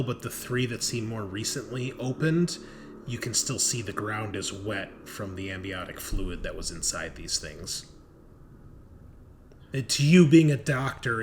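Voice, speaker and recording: A man with a lowish voice works as game master for a tabletop roleplaying session. He describes things conversationally and swears casually. There is faint noise behind him.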